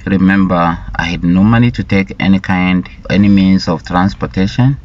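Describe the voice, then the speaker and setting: A middle-aged man from Rwanda telling his life story in a deliberate, halting way, often repeating words. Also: sits low. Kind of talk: slow personal storytelling. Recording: dull lo-fi recording.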